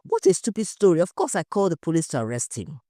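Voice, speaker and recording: A woman's voice: lowish voice, huge pitch swings, fast talker, mellow, no echo, studio quality. A woman voicing an anxious, indignant husband accused of murder in an audio story, emphatic and defensive. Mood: angry, scornful.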